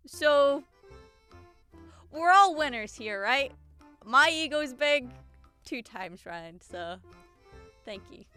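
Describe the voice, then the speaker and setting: A woman's voice: fairly high pitch, sharp tone, clean tone. A woman in her twenties casually reading out comments and answering them in a sarcastic, self-mocking way. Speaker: singer and YouTuber.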